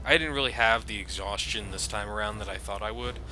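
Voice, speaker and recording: A man's voice: on the low side, fairly quick, background noise. A man giving casual, informal commentary on a video game. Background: game music and sound effects.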